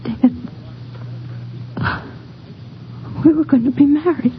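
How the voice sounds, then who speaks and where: An actress playing a dazed, grieving young bride-to-be who witnessed a crime, speaking haltingly and pleadingly in 1950s radio drama style. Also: female voice, high-pitched, natural voice. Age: young adult. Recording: muffled, noisy 1950s radio recording.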